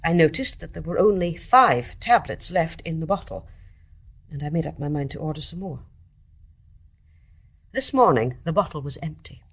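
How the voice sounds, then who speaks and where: An actress playing a formal, insistent, dutiful British private nurse in a radio drama. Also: female voice, sits low, lively intonation, normal speed, pauses often, slightly rough, exaggerated voice. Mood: grave, precise. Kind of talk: British stage-play radio acting.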